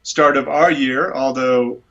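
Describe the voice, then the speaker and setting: A man's voice: medium pitch, fairly even intonation, takes it slowly, a bit bright. Guest attorney, male, mid-range voice, eager and effusive, heard over a muffled phone connection. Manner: enthusiastic, gushing about a speaker. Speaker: animal rights attorney, guest. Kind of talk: phone interview.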